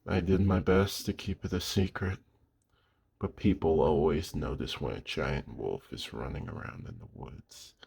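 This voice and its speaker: A man playing a gruff, lonely old werewolf in an intimate ASMR roleplay, weary but gentle, speaking slowly and hushed with a husky, gravelly voice. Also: low voice, exaggerated voice. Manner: slow, hushed, with long pauses.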